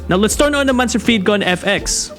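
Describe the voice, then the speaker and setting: A man's voice: high voice, lively intonation, brisk, a bit bright, faint music behind. A man with a Filipino accent talking casually as a motorcycle vlogger, in a tutorial style. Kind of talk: casual bilingual tutorial talk.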